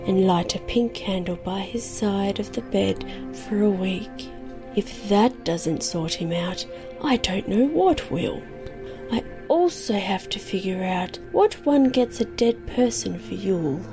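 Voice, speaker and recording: A woman's voice: medium pitch, close to an everyday voice. A woman playing a kooky, affectionate new-age spiritualist in an audio comedy, speaking earnestly and airily. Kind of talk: scripted comedy radio segment monologue. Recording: music behind the voice.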